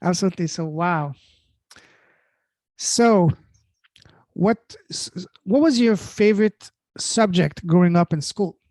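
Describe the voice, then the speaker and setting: A man's voice: high voice, unhurried, long pauses, darkish tone, no echo. A man from France with a French accent, French as his first language, hosting a podcast interview and asking questions. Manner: eager, affirming interjections. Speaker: podcast host.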